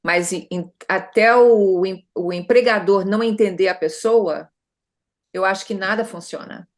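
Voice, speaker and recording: A woman's voice: mid-range voice, unhurried. A middle-aged Brazilian woman consultant speaking conversationally in an interview, often adding tag questions and restarting her sentences. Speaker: consultant guest.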